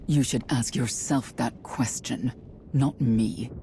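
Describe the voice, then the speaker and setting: A righteous, vengeful figure in a video game. His medium-pitched voice is stern and slow, with clear noise behind it. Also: steady tone. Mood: condemning. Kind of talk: dramatic video game acting.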